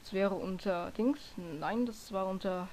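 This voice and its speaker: Hoarse woman rambling through a tutorial. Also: mid-range voice, a little slow, darkish tone, slight background noise. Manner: rambling, self-correcting, lots of fillers. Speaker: software tutorial maker. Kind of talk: casual screen-recording tutorial.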